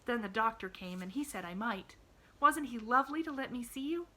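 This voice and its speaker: A woman's voice: fairly high pitch, animated, brisk, bright, forceful tone, voice pushed up, heavily acted. A woman voicing an eager, talkative, glad little girl from a storybook, rambling earnestly with a rising inflection.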